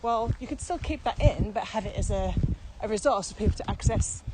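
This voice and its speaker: A woman's voice: medium pitch, brisk. A middle-aged woman in a casual explanatory podcast chat, talking through things step by step in an emphatic, conversational way. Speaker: podcast co-host and experienced trainer. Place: outdoors, walking. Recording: outdoor walking recording, clear background noise.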